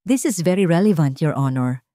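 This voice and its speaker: Woman as male courtroom lawyer, lowish pitch, formal, pointed, relentless, deliberate phrasing, dry sound, dramatic story. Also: expressive, mellow, no echo. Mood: forceful.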